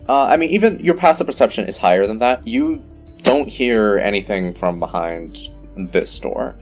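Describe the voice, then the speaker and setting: A man's voice: on the low side, expressive, normal speed. A man running a tabletop fantasy game as game master, describing scenes in a conversational way. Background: music.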